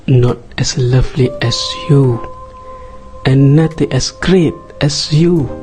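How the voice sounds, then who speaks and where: A man's voice: medium pitch, slow, warm tone, faint music behind, lightly acted. A man playing an adoring, attentive young man on a first date in an amateur romance radio drama, earnest and tender. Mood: loving.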